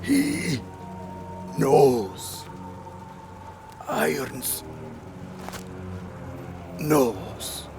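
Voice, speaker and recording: An actor playing a dying villain who gasps out halting, gravelly words. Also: male voice, fairly high pitch, wildly swooping pitch, over music, exaggerated voice. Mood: strained, taunting. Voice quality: gravelly, creaky.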